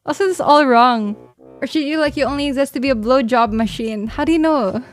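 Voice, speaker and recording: A woman's voice: high voice, a little fast, soft background music. A woman with a Filipino accent, a livestreamer reading viewer confessions aloud and reacting bluntly. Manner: blunt and exasperated in reactions, mocking the writer.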